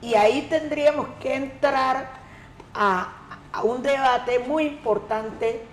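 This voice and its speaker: A woman from the Colombian Pacific coast, an education coordinator answering interview questions in a measured, emphatic way. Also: high voice, a little hiss or hum. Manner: measured, emphatic, repeats key words. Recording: echoey room, faint ambience.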